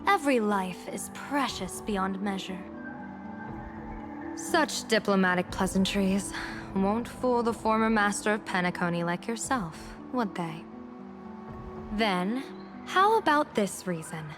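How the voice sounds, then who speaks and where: A woman voice acting a shrewd, composed general and diviner in a game cutscene, speaking in a measured, formal, theatrical way. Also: medium pitch, expressive, over music. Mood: wry, playful. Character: shrewd, composed female general and diviner, secretly gambling against gods.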